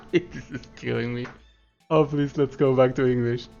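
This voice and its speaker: A man from Argentina commentates a live esports match at a middle pitch. He talks in a loose, chatty way and turns from analysis to chat messages. The stream audio is a little muffled, and soft music plays underneath. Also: steady tone, measured pace, creaky. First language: Spanish. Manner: chatty, laughs often, answers chat between analysis. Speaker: esports caster.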